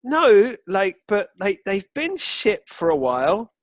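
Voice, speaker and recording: A man's voice: high-pitched, sing-song, slow pace, no echo, studio quality. A man co-hosting a football podcast, chatting casually with irreverent, sweary, joking banter.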